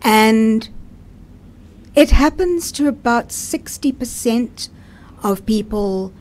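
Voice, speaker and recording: A woman's voice: on the high side, lively intonation, slow pace, darkish tone. A middle-aged woman with a South African accent, speaking as an interview guest and telling her own story conversationally.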